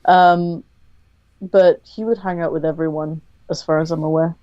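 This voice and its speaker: A woman guest on a podcast interview, speaking candidly in a thoughtful, measured way with frequent pauses to think. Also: low voice, fairly even intonation, warm tone, dry recording, highs cut off.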